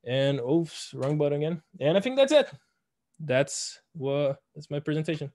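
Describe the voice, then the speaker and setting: A young man whose first language is Portuguese, giving a student presentation over a video call in a halting way with many fillers. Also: on the high side, lively intonation, darkish tone. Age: young adult. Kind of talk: online presentation.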